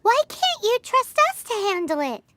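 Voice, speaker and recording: Chirpy sprite sidekick, very high, lively, over strong music. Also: female voice, moderate pace, a little hiss or hum. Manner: bubbly, refers to herself in third person. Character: small chirpy companion sprite, female. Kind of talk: video game voice acting. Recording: game cutscene with loud music.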